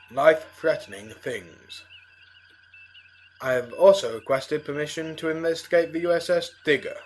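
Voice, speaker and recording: An earnest starship captain played by a man with a mid-pitched voice. He speaks in a measured, commanding way in a sci-fi audio drama, with faint music underneath. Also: close to an everyday voice.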